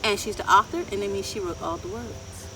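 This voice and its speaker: A middle-aged woman reading a picture book aloud to children, expressive and deliberate, often putting questions to her listeners. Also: medium pitch. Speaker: children's storyteller. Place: outdoors in a wooded garden. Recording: outdoor, distant mic, ambient insect noise. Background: insects and outdoor ambience.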